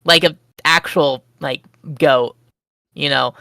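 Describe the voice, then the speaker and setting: A woman telling a rambling story on an online call, excitable and jumping up in pitch. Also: slow pace, creaky, no room sound. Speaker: group chat participant. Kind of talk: casual storytelling chat.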